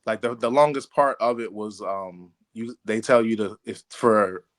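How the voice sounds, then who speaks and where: A man co-hosting a podcast with casual, playful banter, swearing freely and quick to crack up. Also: on the low side. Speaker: podcast co-host.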